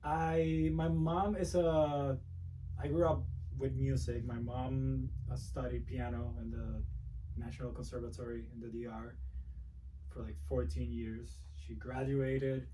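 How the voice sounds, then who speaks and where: A man from the Republic, a guest speaking softly and reflectively in a casual interview. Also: mid-range voice, little pitch movement, unhurried, far from the mic, a little hiss or hum, rough recording. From Dominican Republic. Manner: soft-spoken, reflective, frequent restarts. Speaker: guest, music producer.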